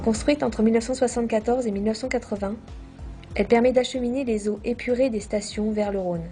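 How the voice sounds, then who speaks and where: A woman reading a scripted documentary narration in a measured, formal manner. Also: on the high side, fairly even intonation, over music.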